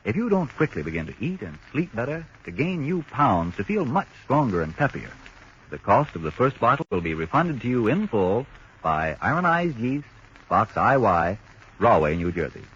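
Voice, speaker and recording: A man's voice: mid-range voice, sing-song, moderate pace, fairly bright tone. A man announcing on a 1940s radio program, in a polished, persuasive manner. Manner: polished, persuasive sales delivery. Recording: muffled 1940s radio broadcast, faint hiss.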